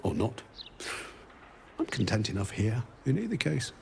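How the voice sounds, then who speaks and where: A man's voice: medium pitch, wildly swooping pitch, normal speed, gravelly, darkish tone, a little hiss or hum. An actor playing an amiable, befuddled man with lost memories in a video game, rambling and trailing off wistfully. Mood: wistful, bemused. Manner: rambling, absent-minded, trailing off.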